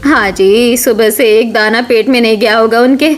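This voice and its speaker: A slightly high-voiced older mother-in-law from a family cartoon, acted by a woman. She is motherly and earnest, worrying over her household but determined in what she says. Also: fairly even intonation, normal speed, lightly acted. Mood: concerned, determined. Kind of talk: Hindi animated family story acting.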